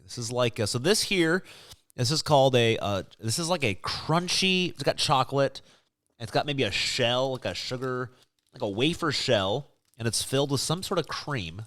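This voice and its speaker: A man co-hosting a podcast, chatting casually and musing out loud as he tastes snacks, in an upbeat, chatty way. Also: mid-range voice, lively intonation, normal speed, no room sound. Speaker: podcast co-host. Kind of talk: casual snack-tasting chat.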